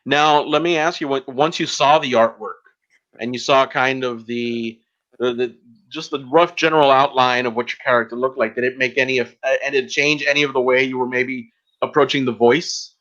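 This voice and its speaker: Halting man hosting podcast, dull audio. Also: mid-range voice, steady tone, sharp tone. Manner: halting, rambling, filler-heavy. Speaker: podcast host, interviewer. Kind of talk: casual podcast interview.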